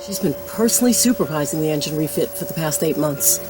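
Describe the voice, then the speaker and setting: A woman's voice: low-pitched, animated, fast talker, music in the background, low-quality recording. A woman playing a confident, newly appointed starship captain in a science fiction audio drama, composed and authoritative. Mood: reflective, determined.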